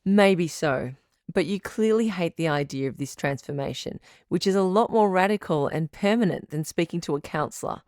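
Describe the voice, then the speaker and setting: A woman playing a calm, supportive radio advice doctor in an audio drama, speaking in a measured, articulate, professional counselling tone. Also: lowish voice, no echo, studio quality. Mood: firm, concerned. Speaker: radio advice show host, sex therapist.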